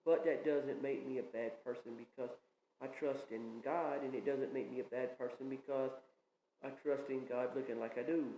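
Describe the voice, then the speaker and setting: A man's voice: medium pitch, fairly even intonation, moderate pace, warm tone, lo-fi sound, a little hiss or hum. A man in his thirties with a Southern US accent, preaching an informal message in an earnest, rambling, plain-spoken way. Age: 30s. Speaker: pastor. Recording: echoey room with faint ambience.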